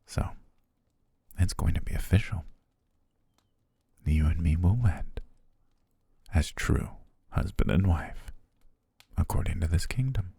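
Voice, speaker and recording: A man performs an obsessive, doting butler in an intimate ASMR romance. His voice is dark, deep and very husky, often sinking toward a whisper. He coaxes tenderly with lingering pauses and a soft menace, close on the mic. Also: no room sound, exaggerated voice. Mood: dreamy devoted. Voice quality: breathy, husky, gravelly, often near whisper. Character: obsessive, doting male butler, softly menacing captor-fiancé. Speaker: ASMR roleplay voice actor. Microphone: close, dry studio microphone.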